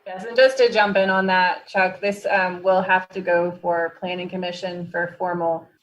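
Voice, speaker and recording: A woman's voice: on the low side, little pitch movement, moderate pace, dull audio. A woman on staff at a public meeting, clarifying procedure in a matter-of-fact official way. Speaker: zoning board staff member.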